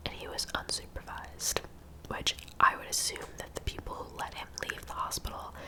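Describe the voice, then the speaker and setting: Asmr girl whispering a story, super soft and breathy, chatty and confiding, woman. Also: poor audio. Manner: gentle, informal, confiding asides. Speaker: host of a true crime ASMR series.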